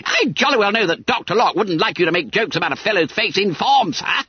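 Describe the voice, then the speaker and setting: A man's voice: very high-pitched, big pitch swings, a little fast, slightly rough, no echo, muffled recording, pitched above the performer's own voice, full character voice. A man voicing a fat, bumbling, indignant schoolboy who stammers and splutters in a story reading.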